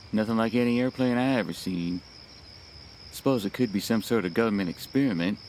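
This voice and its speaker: An actor playing a weathered, plainspoken country sheriff in an audio drama. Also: male voice, lowish voice, normal speed, darkish tone, a little hiss or hum, natural voice. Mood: unhurried, puzzled. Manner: folksy, plainspoken.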